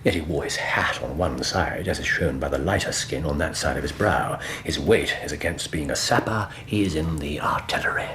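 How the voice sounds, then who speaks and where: An actor playing an incisive, self-assured British gentleman detective in a period radio drama, with precise, formal and commanding delivery. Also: very deep voice, normal speed, few pauses, a little hiss or hum. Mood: keen, assured.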